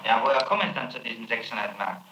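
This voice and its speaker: A man's voice: mid-range voice, expressive, quick pace, echoey room, noisy background. A man working as a prosecutor, questioning a witness with probing, insistent questions on an archival tape. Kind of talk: archival interrogation recording.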